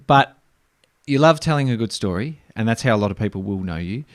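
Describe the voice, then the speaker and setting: A man's voice: fairly low pitch, expressive, a little fast, no echo. Male podcast host, dry sound. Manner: warm, hesitant with many ums and restarts. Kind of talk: interview.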